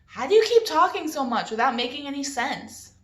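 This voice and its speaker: A woman's voice: high-pitched, very animated, brisk, voice pushed up. Woman reading as a blunt, sarcastic boy, echoey. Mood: exasperated. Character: scornful, sarcastic boy, narrator's best friend.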